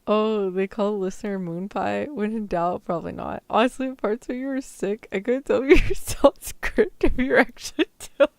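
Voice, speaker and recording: Creaky-voiced woman chatting on a livestream. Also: mid-range voice, moderate pace, hardly any pauses, darkish tone. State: slightly sore throat. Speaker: VTuber streamer. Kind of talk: casual livestream chat.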